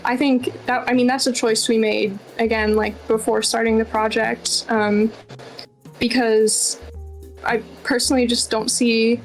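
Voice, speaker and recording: A woman speaks as a guest game developer in a casual interview. Her voice sits fairly high and she talks informally, with plenty of conversational asides. Music plays behind her. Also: flat delivery, measured pace, rough recording. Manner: chatty, informal, many "like" and "you know" asides.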